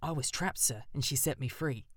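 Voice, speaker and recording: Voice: medium pitch, moderate pace, no room sound, clean studio sound, natural voice. A reader voicing an eager, polite male crow. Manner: polite, pleading.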